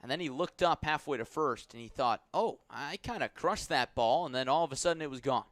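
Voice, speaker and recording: Guy doing live baseball radio play by play, medium pitch, measured and descriptive, gets louder on plays. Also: no echo. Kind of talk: live sports commentary.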